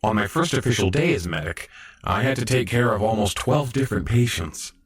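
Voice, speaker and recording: Man playing a low-voiced earnest young robot medic. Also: animated, brisk, lightly acted. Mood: reflective. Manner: earnest, hesitant, reflective. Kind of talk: audio drama acting and narration.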